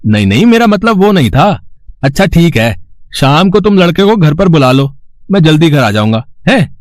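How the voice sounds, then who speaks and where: A man's voice: fairly high pitch, big pitch swings, moderate pace, no echo. A concerned, trusting family man in a cartoon tale, played by a man. He speaks in a questioning, conciliatory way, recorded dry without room sound. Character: trusting, concerned older brother and husband.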